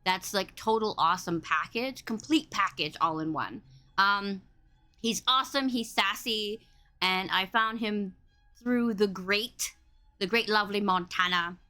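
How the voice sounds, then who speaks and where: A woman with a loud, boomy voice hosting a casual livestream podcast, effusive, playful and rambling as she chats with her guest. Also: measured pace. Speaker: podcast host and interviewer.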